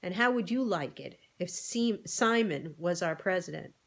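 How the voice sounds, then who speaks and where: A woman's voice: lowish voice, lively intonation, moderate pace, creaky, a bit bright, full character voice. A woman voicing an earnest, worried male pig who reasons persuasively in an animal storybook.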